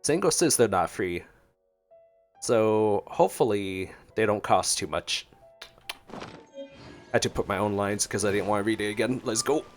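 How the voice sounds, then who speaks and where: A man's voice: fairly low pitch, animated, unhurried, long pauses. A man doing casual gameplay reaction commentary as a streamer, playful and joking as he reads and responds to dialogue. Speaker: gaming streamer. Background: game music.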